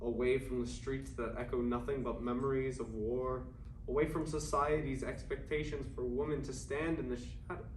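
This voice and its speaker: A man playing a yearning 1920s writer of secret love letters in an earnest, measured staged play reading. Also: mid-range voice, little pitch movement, natural voice. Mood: resolute, wistful. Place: indoor room at a staged reading. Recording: echoey room, distant mic, faint noise.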